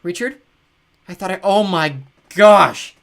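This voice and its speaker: A man's voice: high voice, slow, gravelly, a bit bright, voice pushed up, full character voice. A man voicing a panicked mother calling out frantically. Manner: frantic, breathless calls.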